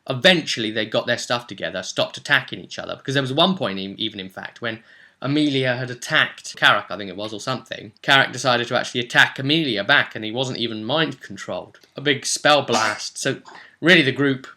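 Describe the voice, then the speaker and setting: British man, very bright forceful voice. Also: mid-range voice, brisk. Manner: rambling, colloquial storytelling. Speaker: game master recapping a tabletop role-play session. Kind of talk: casual spoken recap.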